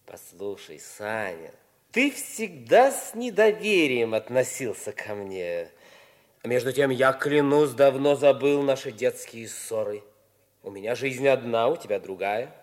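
Sly, falsely humble old schoolmate, ingratiating, mid-pitched, highly varied intonation, vintage radio play. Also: male voice, big pitch swings, unhurried. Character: insinuating, falsely humble young schoolmate rival. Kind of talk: 1950s radio play acting.

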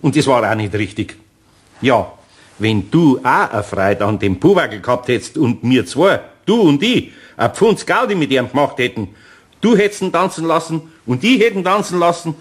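An older man with a Bavarian accent playing a kindly old master carpenter in a radio play, grumbling slowly and good-naturedly. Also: medium pitch, expressive, slightly rough. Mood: gentle, teasing.

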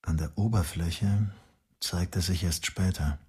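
An actor playing a weary, brooding detective, speaking quietly in a husky voice in a radio drama. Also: male voice, sits low, a little slow, a little hiss or hum. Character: Detective Bell, weary brooding male cop.

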